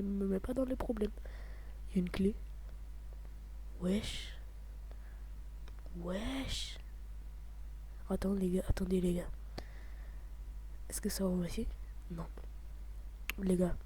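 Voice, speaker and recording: Gaming commentator, male, mellow half-whispered voice, excitable slang, faint noise behind. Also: sits high. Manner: hushed, half-whispered delivery; excitable, slangy, repeats exclamations. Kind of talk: casual gameplay commentary.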